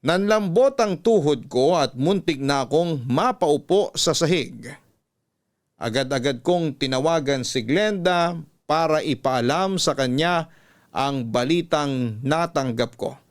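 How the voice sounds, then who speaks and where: A man reading a scripted emotional story in a measured, emotive way, like a radio storyteller. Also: on the high side. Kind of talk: dramatic scripted story narration.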